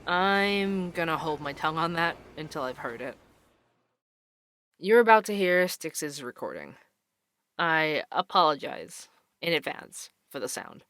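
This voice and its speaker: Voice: mid-range voice, sing-song, a little slow, fairly bright tone. An actor playing an inquisitive young podcast host who investigates mysteries, in an audio drama. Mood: wry, friendly. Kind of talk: audio drama dialogue and narration.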